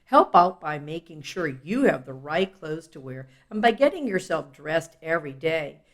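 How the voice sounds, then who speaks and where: A woman's voice: sits low, sing-song, normal speed. A woman reading a children's advice book as scripted narration, speaking instructively and directly to the child listener. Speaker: audiobook reader.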